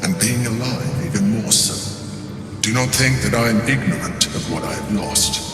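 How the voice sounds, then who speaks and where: An actor playing a proud, calculating mind flayer in a video game, speaking in a calm, measured, deliberate way. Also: male voice, medium pitch, natural voice. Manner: measured, deliberate, self-justifying. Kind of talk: dramatic game monologue. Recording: roomy long reverb, music behind.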